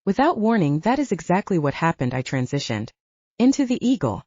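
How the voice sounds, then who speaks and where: A woman reads dramatic fiction in a low, mellow voice. Her pitch moves in lively swings as she tells the story in the first person. The recording is dry and a little dull. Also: brisk, no echo. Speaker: audiobook narrator.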